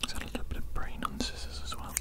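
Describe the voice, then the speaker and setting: A man whispering an ASMR roleplay as a fussy, callous mad doctor, repeating phrases with deadpan menace, close to the microphone. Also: racing. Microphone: close-up microphone, facing camera.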